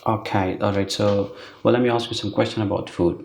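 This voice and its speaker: A man acting as a speaking-test examiner. He asks slow, hesitant questions full of fillers and keeps humming along as the candidate answers. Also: lowish voice, fairly even intonation, gravelly, darkish tone, slight background noise. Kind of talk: mock exam questioning. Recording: echoey room.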